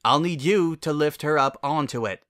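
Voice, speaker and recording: A man's voice: a little high, normal speed, a bit bright, voice pushed up, close to an everyday voice. A man voicing a plain-spoken, practical country farm mare, caring and firm, with a folksy, blunt manner. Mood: grave, urgent.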